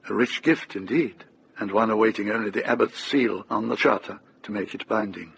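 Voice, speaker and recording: An actor narrating as a grave, measured storyteller in a scripted radio drama. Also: male voice, lowish voice, creaky, a bit bright, slight background noise.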